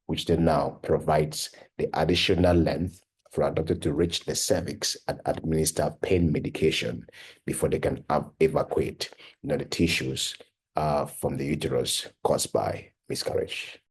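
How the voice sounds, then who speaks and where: A very deep, husky male voice from a young man born in Cameroon. He talks earnestly and conversationally, dropping frequent "you know" and "uh" fillers. His voice stays low, with a rough, breathy edge. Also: steady tone, normal speed. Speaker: medical device company founder and PhD researcher. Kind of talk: personal talk for an online course.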